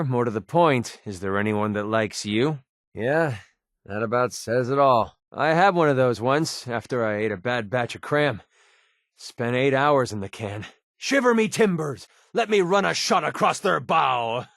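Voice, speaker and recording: A man's voice: medium pitch, lively intonation, measured pace, vocal fry, fairly bright tone, no echo, clean studio sound. An American man playing a cynical, wisecracking young mercenary in a video game, with a casual, sarcastic, slangy delivery. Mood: mock pirate playfulness. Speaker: video game companion character.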